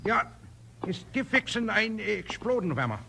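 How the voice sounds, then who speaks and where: An actor playing a nervous old gunsmith with a comic Pennsylvania Dutch accent, mangling English in a 1950s radio western. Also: male voice, high voice, big pitch swings, normal speed, vocal fry, noisy background, heavily acted. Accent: comic Pennsylvania Dutch German. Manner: stammering, mangled English full of invented words.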